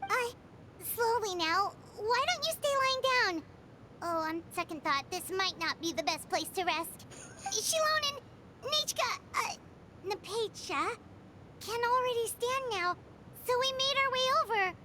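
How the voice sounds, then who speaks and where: A woman voicing a tiny excitable fairy companion in a video game, bubbly and chatty. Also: very high-pitched, measured pace, a little hiss or hum, full character voice. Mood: gentle. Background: soft game music.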